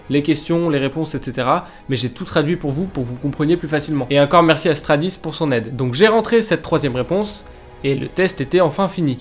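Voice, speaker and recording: A young man with a French accent tells his story straight to his viewers, casual and chatty. His voice sits a little high for a man. Soft background music plays under him. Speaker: YouTuber narrating a story. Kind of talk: storytelling vlog narration. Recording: faint music bed behind voice.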